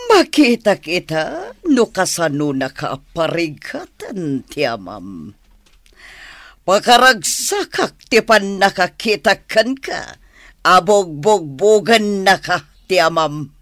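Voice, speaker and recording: An actress with a Filipino accent playing a cruel, mocking woman who gloats and taunts in drawn-out phrases in a radio drama. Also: on the low side, sing-song, a bit bright, no room sound, full character voice. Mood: gloating, menacing. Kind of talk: Philippine radio drama acting.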